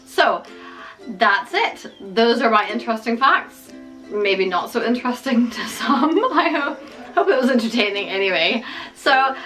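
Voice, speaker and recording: A woman's voice: mid-range voice, sharp tone, rough recording. A middle-aged woman, originally from the United States, with an American accent touched by Scottish, chatting to camera in a self-deprecating way, with a lot of ums. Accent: American, with some Scottish. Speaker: vlogger. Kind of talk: casual talk to camera.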